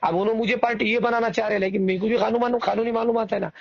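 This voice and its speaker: High-pitched indignant man on a phone. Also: fairly even intonation, very fast, creaky. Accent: Marathwada Dakhni Hindi-Urdu. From Parbhani, Maharashtra, India. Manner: indignant, insistent, argumentative, repeating himself. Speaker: election candidate and party worker. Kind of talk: informal phone conversation. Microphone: phone call. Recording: muffled recorded phone call.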